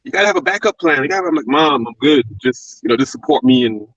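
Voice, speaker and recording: A man with a Brooklyn accent talks quickly and reflectively, circling back with fillers as he tells his story. The sound is dry and close, with a dull, narrowed lo-fi quality. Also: medium pitch, animated, a bit bright, no room sound. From Brooklyn, New York. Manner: reflective, rambling with restarts and fillers. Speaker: guest, former pro wrestler. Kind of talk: casual interview talk.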